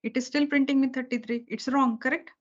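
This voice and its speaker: A woman's voice: high-pitched, steady tone, a little fast. Patient woman teaching code on a call. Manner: patient, repeats key points, checks understanding with okay and right. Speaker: programming teacher. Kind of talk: live coding lesson over video call. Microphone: video call. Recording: dull lo-fi call audio.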